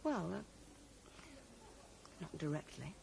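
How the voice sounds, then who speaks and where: A young woman convalescing in an eighties radio drama. She is wistful yet defensive, with a mellow tone and widely moving intonation. The old broadcast recording is faintly noisy. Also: deep voice, lively intonation, drawn-out words, creaky, heavily acted. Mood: guarded. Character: loyal, defensive young woman in love, recovering in hospital. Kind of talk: 1980s radio drama acting.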